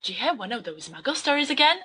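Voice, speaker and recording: A woman voicing a rowdy, teasing teenage boy wizard, speaking loudly and playfully. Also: very animated, fast, breathy edge, exaggerated voice. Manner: loud, playful, raised pitch.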